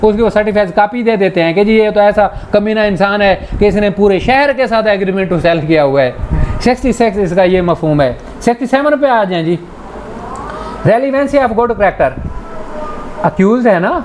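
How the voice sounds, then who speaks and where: A man's voice: high voice, a little mellow. Male law lecturer, Pakistani accent, conversational delivery with English legal terms, noisy recording. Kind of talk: informal lecture explaining statute articles with examples.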